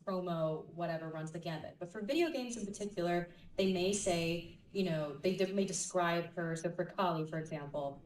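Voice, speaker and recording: A woman's voice: on the low side, a little mellow. A woman voice actor on a convention panel, thoughtfully explaining her craft through examples. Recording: echoey hall, mic from far off.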